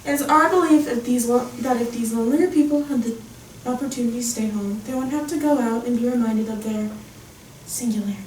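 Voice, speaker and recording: A woman's voice: fairly high pitch, brisk, darkish tone, close to an everyday voice. An actress playing an indignant, self-righteous female Cupid in a stage comedy, haughty and defensive. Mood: earnest. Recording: echoey room, distant mic, faint noise.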